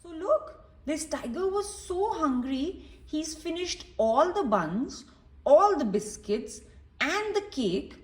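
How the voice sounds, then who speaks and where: A woman reading a story aloud to young children, explaining it and putting questions directly to the listeners. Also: high voice. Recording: echoey room, distant mic.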